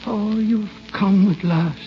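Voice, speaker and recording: An actor playing a frail, remorseful, lovelorn old uncle in an old radio drama, halting and emotional. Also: male voice, high voice. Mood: yearning, awed. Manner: halting, emotional, repeating words. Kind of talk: 1940s radio drama acting. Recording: muffled, crackly old radio broadcast.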